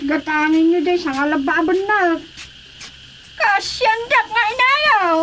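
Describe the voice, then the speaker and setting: A woman's voice: extremely high pitch, noisy background. A woman with an Ilocano accent playing a village street vendor calling out wares in a comedy radio drama. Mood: insistent. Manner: drawn-out calling.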